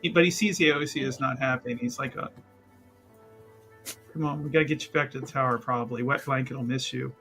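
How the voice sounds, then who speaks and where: A man's voice: mid-range voice, steady tone, brisk, bright, forceful tone, soft background music. A man playing a tabletop game, chatting casually about what his character does.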